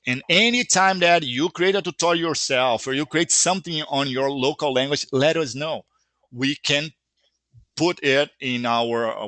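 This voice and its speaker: Bright-voiced Brazilian man explaining earnestly. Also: mid-range voice, no echo. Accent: Brazilian Portuguese. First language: Brazilian Portuguese. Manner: earnest, explains at length with many restarts. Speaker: software company founder answering user questions. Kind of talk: live Q&A explanation.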